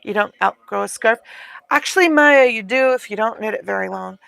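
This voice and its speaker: A woman's voice: fairly high pitch, brisk, gravelly, fairly bright tone, clear, resonant tone, no room sound. A woman chatting casually while she knits, rambling with fillers and losing her voice. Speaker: streamer knitting on a livestream. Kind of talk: casual chat.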